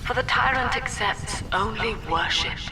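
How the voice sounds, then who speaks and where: An actress playing a cold, cerebral middle-aged psychiatrist and cult leader in a video game, speaking in a formal, precise, solemn manner. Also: female voice, on the high side, unhurried, heavy background noise, close to an everyday voice. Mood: grave, accusing. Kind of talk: dramatic video game monologue.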